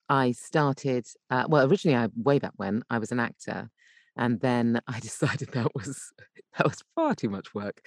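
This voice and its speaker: A woman's voice: very deep voice, dry recording, clean studio sound. A woman voice actor talking casually as an interview guest, chatty and often rephrasing herself as she goes.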